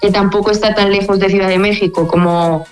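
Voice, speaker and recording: A woman's voice: medium pitch, steady tone, a little fast, faint music behind. A woman sports journalist from Spain whose Spanish accent has a Mexican tinge, chatting casually with many fillers and restarts. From Spain (Gijón). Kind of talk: casual phone-in chat.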